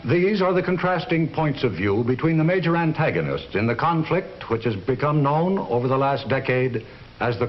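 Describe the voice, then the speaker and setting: An American man narrates in a formal, measured 1960s documentary style. His pitch moves widely as he stresses key phrases. The sound is a dull old film track with faint music behind. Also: a little hiss or hum.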